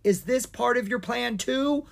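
A narrator voicing a plucky, easily exasperated young boy dragon in a children's storybook, excitable and grumbly. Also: medium pitch, moderate pace, gravelly, slightly rough, voice pushed up, full character voice.

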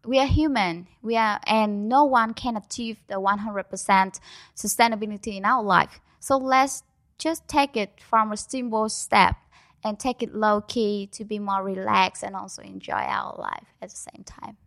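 A woman's voice: medium pitch, fairly even intonation, normal speed, gravelly, a bit bright, dry recording. Young woman, first language vietnamese, lots of uhs and restarts, dry close sound. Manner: hesitant, many fillers and restarts. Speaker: guest. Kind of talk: casual interview.

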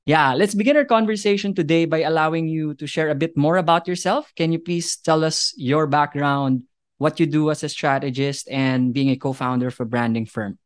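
A man's voice: fairly high pitch, normal speed, smooth. A man hosting a podcast interview, upbeat and enthusiastic, speaking in long, structured sentences that sound prepared. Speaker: podcast host.

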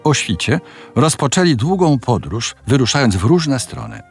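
A man narrating a scripted fairy tale in a measured, dramatic storytelling manner. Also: medium pitch, very animated, creaky, slightly rough, faint music behind.

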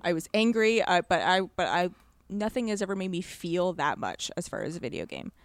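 A woman's voice: fairly low pitch, very animated, a little fast, no echo. A woman chatting casually as a podcast guest, speaking effusively and with emphasis about what she likes. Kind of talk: casual chat.

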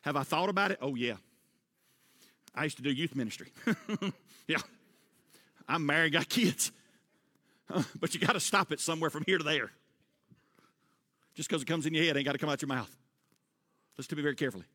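High, bright-voiced pastor preaching. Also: male voice, fairly quick, long pauses. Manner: preaching with emphatic rises, loud repeated refrains. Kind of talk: sermon. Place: church sanctuary. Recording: long church reverb.

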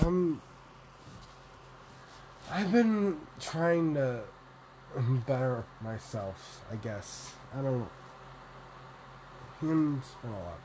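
A young man with a deep voice, rambling through a solo vlog, self-deprecating and swearing casually. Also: noisy background. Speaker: podcaster and vlogger.

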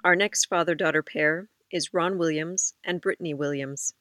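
A host reading scripted narration in a clear, even manner between interview clips. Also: medium pitch, moderate pace, no room sound.

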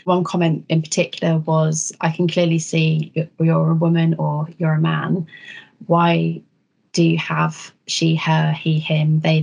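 A woman hosting a panel discussion, reading out audience questions in a measured, questioning way. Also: low-pitched, gravelly, darkish tone, breathy edge. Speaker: host. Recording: dull lo-fi sound.

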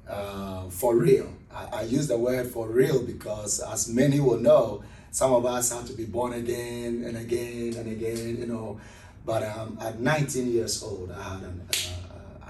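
A man's voice: on the low side, darkish tone, slight background noise. Ghanaian man in a roomy, distant recording. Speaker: pastor being interviewed. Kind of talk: conversational interview. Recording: roomy echo, distant microphone.

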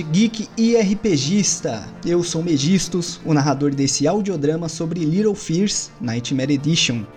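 A man narrating an audio drama and hosting a tabletop game, telling the story in a deliberate, dramatic way that slows for suspense. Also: fairly high pitch, dark tone. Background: faint music.

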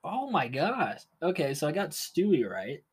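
A man's voice: fairly high pitch, very animated, normal speed, breathy edge. A young man co-hosting a casual podcast, stuffy-nosed from allergies, laughing and teasing often. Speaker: podcast co-host.

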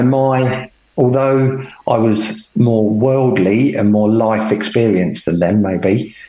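A middle-aged man, speaking at an unhurried pace with a plain, self-deprecating manner. He is calling in from home, so the voice comes through a roomy space. The call audio is dull and muffled. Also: on the low side, a little mellow. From England. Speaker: podcast guest. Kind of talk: casual conversation, telling his life story. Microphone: remote call.

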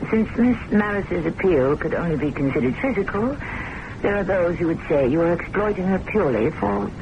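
An actress in an old-time radio drama playing a prim, devoted secretary, formal and precise, quietly disapproving and anxious. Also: female voice, fairly low pitch, animated, normal speed. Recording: dull, noisy old radio recording.

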